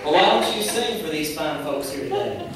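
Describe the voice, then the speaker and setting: A man's voice: a little high, expressive, a little fast, natural voice. A man voicing a genial, dryly polite police officer puppet, the straight man in a church puppet show. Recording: very echoey hall, distant mic, noisy.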